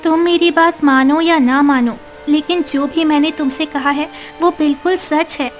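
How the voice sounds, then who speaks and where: A high, sweet mermaid played by a woman pleads her innocence with gentle earnestness. It is cartoon story dialogue, with music and rain clearly behind her. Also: steady tone, unhurried. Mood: firm, resigned. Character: gentle young female mermaid, earnest and wronged.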